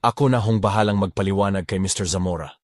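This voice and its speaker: A man voicing a young male bodyguard who speaks quietly and with resignation. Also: deep voice, quick pace, no room sound, studio quality, natural voice. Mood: resigned. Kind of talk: Tagalog audio drama acting.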